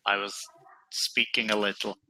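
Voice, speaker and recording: A middle-aged man from England, chatting casually as an online tutor in a relaxed, storytelling way. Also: fairly low pitch, a little hiss or hum, poor audio. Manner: relaxed, chatty, tells stories slowly. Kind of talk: casual conversation practice.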